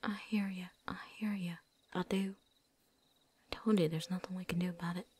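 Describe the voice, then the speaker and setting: A woman playing a tough, world-weary vampire hunter in a whispered ASMR roleplay, breathy, with a folksy put-on drawl. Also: lowish voice, fairly even intonation, moderate pace, darkish tone. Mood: low, steady. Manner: folksy drawl with dropped endings, put-on accent.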